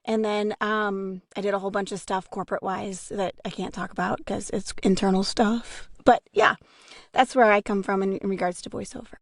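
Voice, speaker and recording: A woman's voice: mid-range voice, steady tone, a little fast, no echo, clean studio sound. A woman recovering from a lost voice, chatting casually as a voice actor guest on a podcast. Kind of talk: casual panel chat.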